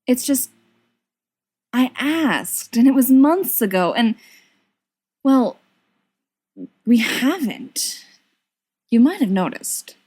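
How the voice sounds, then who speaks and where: A woman voicing an earnest, hurt young fiancée in an audiobook, halting and then insistent. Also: on the high side, big pitch swings, a little mellow, slightly rough, voice pushed up, exaggerated voice. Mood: hesitant, hurt.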